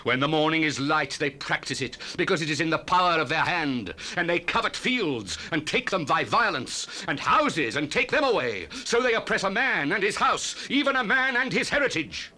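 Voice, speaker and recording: A man's voice: a little high, very animated, moderate pace, few pauses, pushed and bright, exaggerated voice. A man playing an impassioned Old Testament prophet of doom in a solemn, declamatory, theatrical scripture reading on a 1970s cassette dramatization. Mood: solemn warning. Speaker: dramatized Bible reader.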